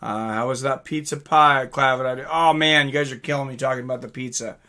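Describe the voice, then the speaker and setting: A man's voice: mid-range voice, fairly even intonation, brisk, bright, forceful tone. A man hosting a live stream, chatting casually and reading out comments from viewers.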